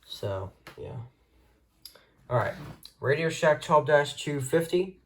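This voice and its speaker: A man's voice: on the high side, expressive, slow pace. Casual, rambling man hosting a hobby video. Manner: informal, rambling, offhand. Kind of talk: casual showcase of a radio collection, unscripted.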